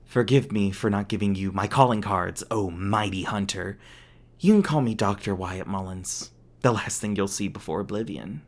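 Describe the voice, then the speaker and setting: A man's voice: on the low side, moderate pace. A man voicing a gleefully theatrical inhuman villain in an audio roleplay, mocking and menacing with mock-sweet baby talk. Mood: mock grand.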